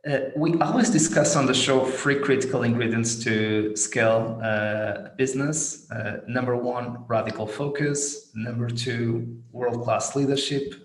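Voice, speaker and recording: A man speaks as the host of a business interview podcast. His pitch is average for a male voice. The recording is roomy, with clear echo around his words. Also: measured pace, hardly any pauses. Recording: roomy, echoing sound.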